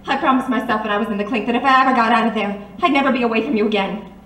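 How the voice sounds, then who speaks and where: A woman's voice: on the high side, very fast, fairly bright tone, natural voice. A woman playing a headstrong, devoted young sweetheart in an old-time radio melodrama, emotional and insistent. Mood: pleading. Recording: roomy, slightly distant, faint background noise.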